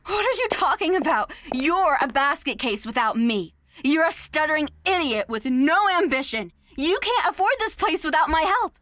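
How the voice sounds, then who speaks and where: A woman's voice: high-pitched, very animated, moderate pace, hardly any pauses, a bit bright, dry recording. A woman playing a cruel, manipulative girlfriend. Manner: mocking, condescending, venomous. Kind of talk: audio drama acting.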